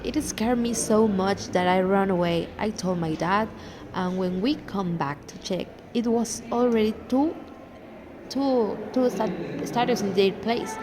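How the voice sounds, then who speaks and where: A woman's voice: medium pitch, a little slow, background noise. Young woman with a Mexican accent. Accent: Mexican Spanish. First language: Spanish. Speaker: podcast co-host. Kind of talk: casual storytelling chat.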